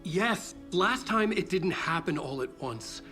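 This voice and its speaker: A haunted novelist in a video game, worn down and rattled, his voice pitched a touch higher than most men's. He trips over his words and circles back on them, while a low music bed plays beneath him. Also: lively intonation, sharp tone, full character voice. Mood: earnest. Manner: halting, repeats words when unsure. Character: haunted male novelist, weary and shaken. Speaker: writer.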